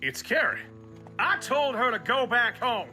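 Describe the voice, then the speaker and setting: A man's voice: very high-pitched, very animated, a little slow, sharp tone, faint music behind, full character voice. A man playing a threatening, domineering bully in a radio Western. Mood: angry, domineering. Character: belligerent Western bully, bossy older brother.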